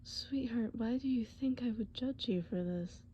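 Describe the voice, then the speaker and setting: A woman voicing a tender, worried boyfriend in a comfort roleplay, breathy and often whispering, with halting, soothing speech. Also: on the high side, mellow, highs cut off, slight background noise, voice pushed up, close to an everyday voice. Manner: soothing, halting, pet names.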